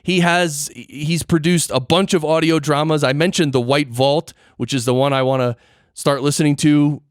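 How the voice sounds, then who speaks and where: Higher-voiced man, playful podcast host. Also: fairly even intonation, normal speed, no echo, clean studio sound. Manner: playful, slangy, exclamatory. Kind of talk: casual podcast interview chat.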